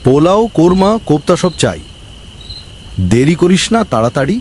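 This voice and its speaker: A man's voice: on the high side, animated, normal speed, a little mellow, slight background noise, natural voice. A man voicing a greedy, cowardly village councilman in a comic cartoon, with a melodramatic, exclamatory delivery. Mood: pompous, greedy. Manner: pompous, exclamatory, pleading when frightened. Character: greedy, bossy village council member, cowardly when threatened.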